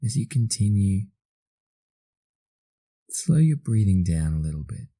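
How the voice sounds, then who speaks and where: An Australian man leading a guided meditation in a soothing manner, leaving long pauses between slow instructions. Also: fairly low pitch, sing-song, dark tone, dry recording, studio quality.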